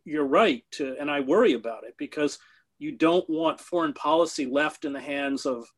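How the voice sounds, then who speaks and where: Impassioned man with halting speech. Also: fairly high pitch. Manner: impassioned, halting with many fillers and restarts. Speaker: interview guest, former foreign correspondent. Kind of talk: unscripted interview answer.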